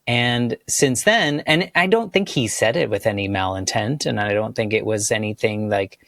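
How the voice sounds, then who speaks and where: Man, podcast host, low voice, supportive rambling chat, dry close recording. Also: expressive, normal speed, gravelly, a bit bright, no room sound. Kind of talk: casual interview chat.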